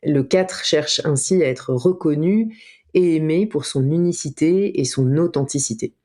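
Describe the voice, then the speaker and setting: A woman co-hosting a podcast, reading a calm, steady explanation in the manner of a coach. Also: low-pitched, a little slow. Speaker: podcast co-host and enneagram coach. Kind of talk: read explanatory narration.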